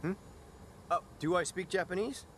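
A man's voice: high-pitched, moderate pace, a bit bright, a little hiss or hum. An actor playing an easygoing, laid-back male taxi driver in a video game, a little hesitant. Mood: amused, relaxed.

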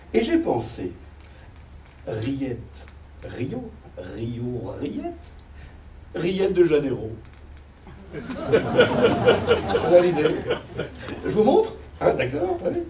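A middle-aged man doing musical stand-up comedy on a small theater stage, chatty and self-mocking as he plays a keyboard. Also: high voice. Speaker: comedian and pianist. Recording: echoey theater, distant.

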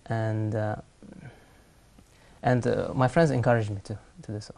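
A young man in his twenties from Kazakhstan, a studio interview guest who answers in a soft, measured, hesitant way. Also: on the low side, fairly even intonation, vocal fry. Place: TV studio set.

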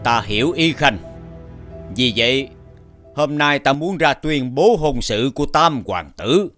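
An actor playing a dignified, authoritative king in an animated fairy tale, speaking formally. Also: medium pitch, unhurried, faint music behind. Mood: stately. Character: stately, authoritative king and father.

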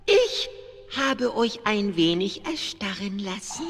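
A man acting a childish, bossy young dinosaur king in a children's radio play, excitable and whiny. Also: high-pitched, slow pace, music in the background, exaggerated voice. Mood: commanding.

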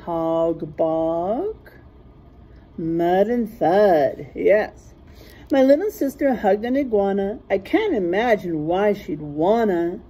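A middle-aged woman reading a rhyming picture book aloud in a teacherly way, pausing to point out word pairs. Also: mid-range voice, lively intonation, warm tone, husky. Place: small classroom, indoors.

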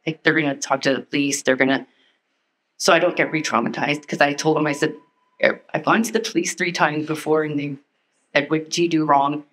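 A woman giving a halting, nervous personal testimony as a panelist, speaking into a handheld mic in a meeting room. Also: low voice, fairly even intonation, a bit bright, poor audio. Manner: halting, nervous, many restarts.

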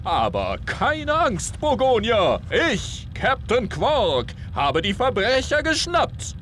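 Vain male superhero, game cutscene, pompous and theatrical, high-pitched, slow showman's pacing, music behind. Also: huge pitch swings, fairly bright tone, slight background noise, heavily acted. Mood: grandiose. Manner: bombastic, theatrical announcer delivery. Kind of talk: animated game cutscene acting.

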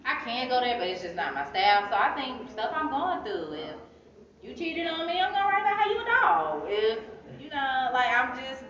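A woman with an American accent chatting informally as an interview guest, telling stories in a casual, animated way. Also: sits high, moderate pace. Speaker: interview guest, poet and author. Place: indoor arts center room, seated. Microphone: phone camera a few metres away. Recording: echoey room, distant muffled phone-camera sound, chatter.

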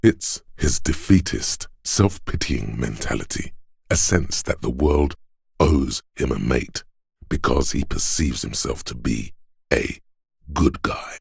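A man voicing a detective's inner logic in a video game, cold, analytical and condescending, speaking in a measured, deliberate way with a deep, husky, gravelly voice. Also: lively intonation, no room sound, studio quality, full character voice. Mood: detached disdain. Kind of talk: scripted game narration.

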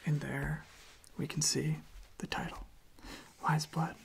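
A soft-spoken ASMR creator chatting casually in a hushed, gentle, halting voice that often drops to a breathy half-whisper, close to the microphone. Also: mid-range voice, flat delivery. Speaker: ASMR video creator, host.

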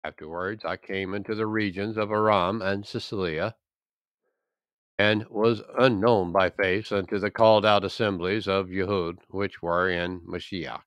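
Guy reading the bible aloud real slow, measured and solemn, dry close mic. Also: deep voice, fairly even intonation, fairly bright tone, clear, resonant tone, dry recording. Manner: measured, deliberate phrasing. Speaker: scripture reader.

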